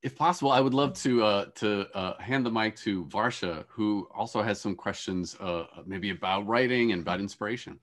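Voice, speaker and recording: A middle-aged man politely moderating a panel on a video call in a measured, hesitant manner. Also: lowish voice. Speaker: host, moderator.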